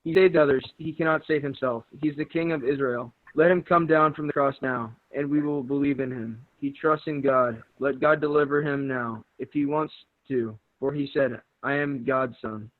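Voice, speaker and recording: A man's voice: medium pitch, fairly even intonation, normal speed, few pauses, lightly acted. A man playing a mocking, suspicious chief priest in a scripture readers theater. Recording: tinny phone-like sound, loud music behind. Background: loud plucked guitar music.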